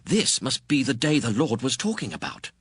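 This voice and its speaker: An eager soldier urges his leader on in a dramatized audio Bible. His husky voice is animated and recorded dry and close. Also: medium pitch, normal speed, no room sound, full character voice. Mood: eager urging.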